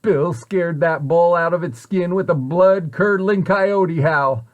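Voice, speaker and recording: A man reading a children's story aloud in an expressive way, with deliberate, dramatic emphasis. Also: high-pitched, lo-fi sound. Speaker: storybook reader, site director at a children's center.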